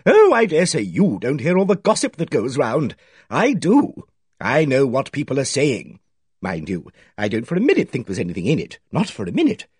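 A man voicing a loud, blunt, self-assured middle-aged woman who booms her opinions. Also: wildly swooping pitch, moderate pace, breathy edge, dry recording, clean studio sound. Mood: brisk, booming. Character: booming, bossy, complacent middle-aged woman.

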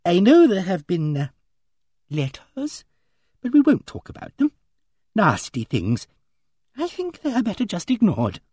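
A man's voice: high voice, huge pitch swings, unhurried, long pauses, darkish tone, breathy edge, no room sound, studio quality, voice pushed up, exaggerated voice. A man doing a fluttery, genteel elderly spinster. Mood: prim. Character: fussy, timid elderly spinster lady. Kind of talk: audiobook character voice.

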